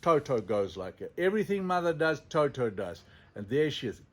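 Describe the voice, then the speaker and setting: A man telling stories in an animated, unscripted way, theatrical and given to dramatic pauses. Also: medium pitch. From Zimbabwe. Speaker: storyteller, sculptor presenting his own elephant figures.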